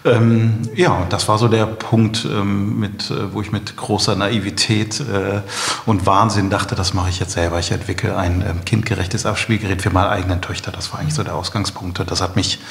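Middle-aged man, interview guest, deep gravelly voice, halting with ums, roomy echoing recording. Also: little pitch movement. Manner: hesitant, halting delivery with frequent ums. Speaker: company founder, interview guest. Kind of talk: conversational interview, explaining. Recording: echoey room, long reverb.

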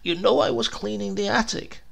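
A man voicing a greying old husband, dreamy and excitable, who enthuses wistfully. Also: high voice, normal speed, vocal fry, voice pushed up, exaggerated voice. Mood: flustered. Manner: enthusing, wistful, hesitant when caught.

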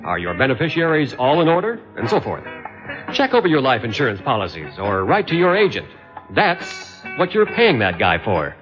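A man's voice: medium pitch, huge pitch swings, normal speed. A man with an American accent reading scripted public service announcements for radio. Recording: dull old radio aircheck, music underneath. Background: music.

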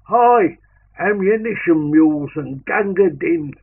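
A man performing an impatient soldier who barks orders at a servant in a gruff, commanding manner. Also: high voice, expressive, slow pace, pitched above the performer's own voice, full character voice. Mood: urgent shouting. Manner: barking, shouted commands. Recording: narrow tinny sound with noise.

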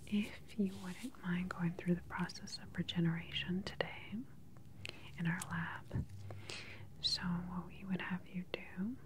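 Woman whispering softly up close, slightly low voice, gentle unhurried doctor asmr roleplay. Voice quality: soft breathy whisper. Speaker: ASMR performer playing a doctor. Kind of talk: ASMR medical exam roleplay. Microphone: close ASMR microphone.

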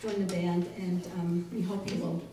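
A woman's voice: lowish voice, little pitch movement, normal speed. A middle-aged woman hosting a live event with a wry, hesitant, joking delivery. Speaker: library director and show host. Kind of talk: live welcome speech to an audience. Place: small library room indoors with a live audience. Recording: very echoey room, distant mic, faint noise.

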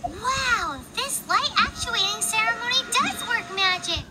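Tiny squeaky childlike game companion. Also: female voice, animated, unhurried. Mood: amazed, eager. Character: small chirpy floating childlike guide companion. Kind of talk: video game voice acting. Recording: game audio with clear background noise.

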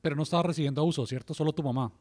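Interviewer, man, slightly high voice, soft brief questions from away from the mic. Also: very fast, dry recording.

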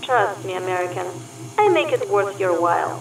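A sly, flirtatious Russian spy ghost in an audio drama. Her stilted English has a Russian accent and swings freely in pitch. She sounds distant in a roomy space, with faint noise. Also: lively intonation, normal speed, gravelly. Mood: seductive. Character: seductive, confident Russian female spy ghost.

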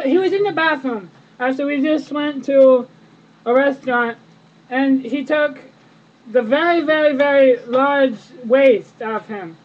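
A young man voicing a whiny, dramatic, indignant wife on a prank phone call. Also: very high voice. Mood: flustered, complaining. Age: young adult. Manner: whiny, dramatic, over-the-top. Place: indoor room with friends around. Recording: muffled, noisy webcam microphone.

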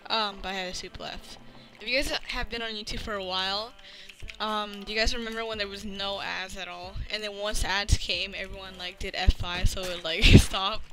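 A man's voice: high voice, fairly even intonation, normal speed, low-quality recording. A thirteen-year-old boy hosting a gaming video with casual, youthful, informal commentary. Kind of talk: casual gameplay commentary and chat. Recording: music playing behind the voice. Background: loud music.

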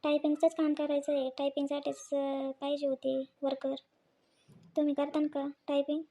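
A woman's voice: sits high, completely flat delivery, moderate pace, mellow. A woman playing a courteous, approving office boss in a family story cartoon. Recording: faint background noise.

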